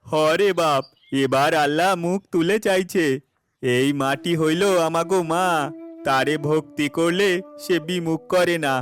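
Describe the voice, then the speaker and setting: A man's voice: fairly high pitch, unhurried, clear, resonant tone, faint music behind, lightly acted. A man playing a poor, humble village farmer and father in a cartoon, earnest and emotional, with a rural Bangladeshi Bengali accent. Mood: hopeful, tender. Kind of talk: cartoon voice acting.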